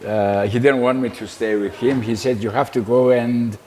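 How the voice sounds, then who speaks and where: An older man from Cyprus, recalling his life in an interview in a halting, repetitive way. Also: medium pitch, steady tone, a little mellow. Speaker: interview guest. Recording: reverberant room with background noise.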